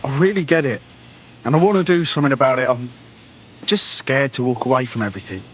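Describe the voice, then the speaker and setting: An actor playing a streetwise London resistance recruit with a British accent and colloquial, slangy speech in a video game. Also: male voice, mid-range voice, fast, a bit bright, a little hiss or hum, natural voice. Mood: hesitant. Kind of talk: video game cutscene acting.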